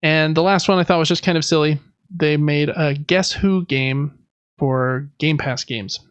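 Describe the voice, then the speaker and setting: A man's voice: fairly high pitch, fairly even intonation, a little slow, smooth, no room sound, lo-fi sound. A man co-hosting a podcast, talking through gaming news in a casual, conversational way. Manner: conversational, loosely reading through news items. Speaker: podcast co-host.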